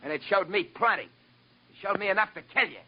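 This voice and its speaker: High-pitched, menacing unhinged spaceman villain. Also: lively intonation, brisk. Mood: bitter, taunting. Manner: menacing, taunting. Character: jealous, unhinged murderous spaceman villain, gloating. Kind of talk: 1950s radio drama acting. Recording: dull vintage 1950s radio recording.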